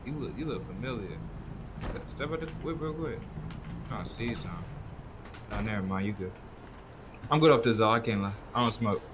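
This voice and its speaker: A man's voice: fairly low pitch, animated, fairly quick, pauses often. A young American man bantering casually in an online role-play game, with slangy, sweary, complaining talk. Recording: noisy online game voice chat.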